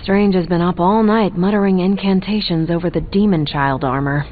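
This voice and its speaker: An actress playing a wry, observant superhero teammate in a video game. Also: female voice, lowish voice, moderate pace, lightly acted. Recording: thin phone-like audio with faint music.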